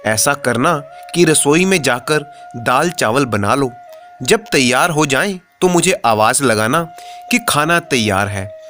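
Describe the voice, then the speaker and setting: Man reading as slow-talking scheming stingy husband. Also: medium pitch, animated, sharp tone, soft background music. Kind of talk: comic storybook narration.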